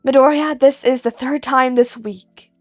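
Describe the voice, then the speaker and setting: A woman's voice: sits high, steady tone, a little slow, dry recording, soft background music. Woman as long-suffering male cat police officer. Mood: exasperated. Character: exasperated, weary male feline police officer.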